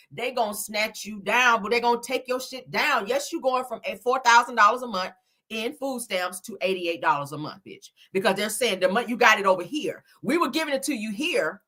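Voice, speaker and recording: A woman giving animated online reaction commentary in a blunt, scolding, emphatic manner, swearing freely and repeating phrases for effect. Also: on the high side. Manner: blunt, scolding, heavy profanity. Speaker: YouTuber commentating on celebrity and viral topics.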